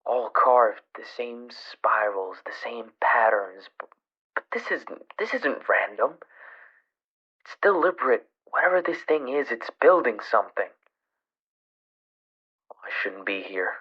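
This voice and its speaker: An actor playing a frightened man alone in the wilderness, recording horror audio logs in a halting, breathy voice that drops into whispers. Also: mid-range voice, big pitch swings. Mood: horrified, shaken. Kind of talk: horror audio-log drama. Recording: dull lo-fi radio-like recording.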